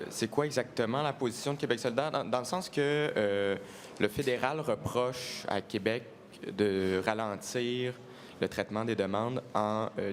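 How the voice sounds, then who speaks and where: Man, low voice, journalist, hesitant question, faint background noise. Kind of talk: press conference question.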